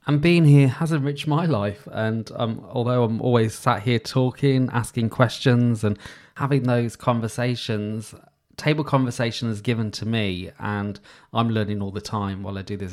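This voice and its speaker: Male podcast host, calm and sincere, lowish voice, soft-spoken monologue. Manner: soft-spoken with frequent pauses and restarts. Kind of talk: solo reflective podcast talk.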